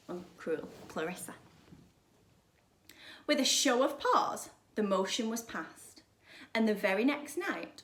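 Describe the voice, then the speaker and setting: A woman's voice: mid-range voice, fairly bright tone. A woman reading a children's story aloud like a primary teacher, with dramatic, emphatic delivery. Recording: echoey room.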